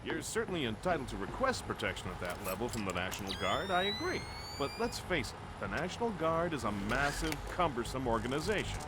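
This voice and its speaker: An actor playing a persuasive security executive in a video game, with a polished, rhetorical way of talking. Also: male voice, mid-range voice, sing-song, fairly quick, hardly any pauses, lightly acted. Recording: loud humming background noise.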